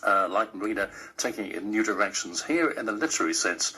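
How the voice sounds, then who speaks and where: A man's voice: lowish voice, animated, quick pace, pushed and bright, slightly rough, low-quality recording. A man presenting a book programme in wordy, florid phrasing. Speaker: host, interviewer. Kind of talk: radio-style book show presenting.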